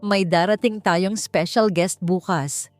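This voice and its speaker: A woman's voice: fairly low pitch, slow, dry recording. A woman voicing a menacing, condescending male boss in an audiobook drama, coaxing and taunting, with music behind. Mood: secretive, commanding. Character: menacing, manipulative male hotel boss, sneering and bribing.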